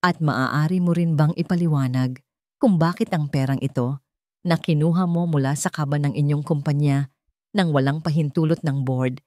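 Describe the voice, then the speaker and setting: A woman voicing a confident male defense attorney who speaks formally and deliberately, with pointed, accusatory questions. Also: sits low, warm tone, dry recording, studio quality, close to an everyday voice.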